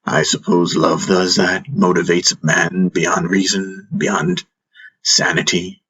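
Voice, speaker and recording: A man voicing a wise, calm old master in an audiobook, speaking in a measured, gently teasing way. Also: low-pitched, breathy edge, exaggerated voice. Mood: musing, wry. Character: wise, calm, wry old male master caring for a patient.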